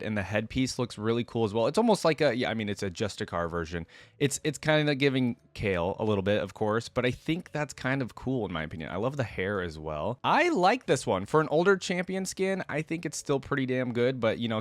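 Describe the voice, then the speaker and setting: A man's voice: medium pitch, dry recording. A man giving casual, opinionated reaction commentary as a host, musing aloud in an informal way.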